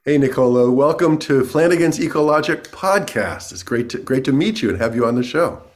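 A man's voice: medium pitch, lively intonation, fairly quick. A man hosting a podcast, chatty and enthusiastic, often repeating words as he asks questions. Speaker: podcast host. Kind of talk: casual interview talk.

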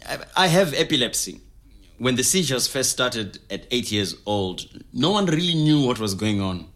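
Middle aged zimbabwean man, zimbabwean accent, medium pitch, earnest n reflective, telling his story in an audio drama interview. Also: very animated, moderate pace, a bit bright.

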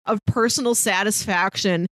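A woman with a mid-pitched voice talks casually about film as a podcast co-host. The sound is dry, with very little room around her. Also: normal speed, a bit bright, no room sound.

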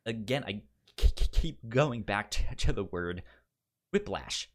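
A man co-hosting a podcast in casual film talk, speaking haltingly and often repeating the first sounds of his words. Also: on the low side, lively intonation. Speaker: podcast co-host.

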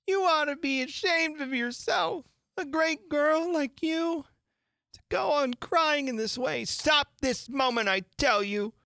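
A woman's voice: medium pitch, lively intonation, slow, gravelly, long pauses, no room sound, pitched above the performer's own voice, full character voice. A woman voicing a young girl who is curious, fretful and prim, talking to herself in wonder. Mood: scolding.

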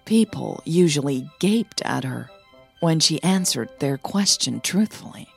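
A woman narrating a scripted audio story as a podcast host, with measured, deliberate phrasing. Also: sits low, animated, vocal fry, breathy edge, faint music behind.

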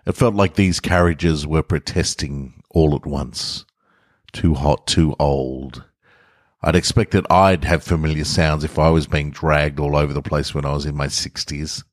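A man's voice: rumbling low, no room sound, clean studio sound. A man, hosting a podcast and reading short fiction aloud. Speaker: podcast host reading own short stories. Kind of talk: podcast narration, casual intro and story reading. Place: hotel room with portable studio equipment.

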